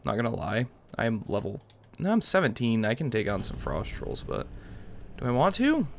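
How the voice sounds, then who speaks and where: A man's voice: mid-range voice, very animated, moderate pace, slight background noise. A young man giving casual let's-play commentary as a gaming video host, musing aloud in a rambling way over game music. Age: young adult.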